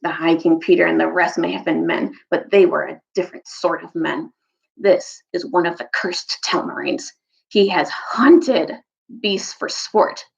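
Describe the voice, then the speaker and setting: A woman's voice: on the low side, measured pace, gravelly, highs cut off. A woman voicing a surly, suspicious male storybook dwarf, grumbling and accusatory. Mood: accusing.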